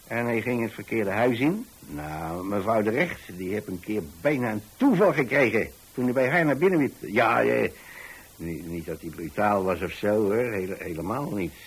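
A man's voice: lowish voice, lively intonation, normal speed, husky. An actor playing an older retired landlord, tolerant and folksy, who tells his story hesitantly and repeats himself in a radio monologue. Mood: chatty, musing.

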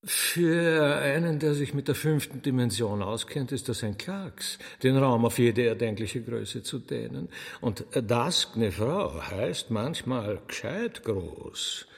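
Sly austrian demon magician for radio play, husky, rambly n courtly, swoopy pitch, big echoey hall. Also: male voice, lively intonation, exaggerated voice. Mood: boastful, rambling. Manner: rambling, chuckling, theatrically courteous, drawn-out words. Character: sly, chatty demon magician, former choirmaster, showman servant. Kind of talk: radio drama acting. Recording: long hall reverb.

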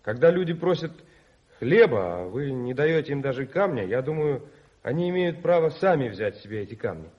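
An actor playing an earnest young socialist gentleman in a radio play, polite but defiant. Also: mid-range voice, big pitch swings, moderate pace, close to an everyday voice. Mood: provocative, calm. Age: young adult. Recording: muffled 1981 radio recording.

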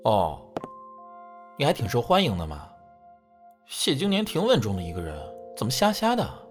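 A man's voice: medium pitch, wildly swooping pitch, normal speed, faint music behind. A man playing a cheeky, vain young idol group member with a playful, teasing manner in an audio drama. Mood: teasing, huffy.

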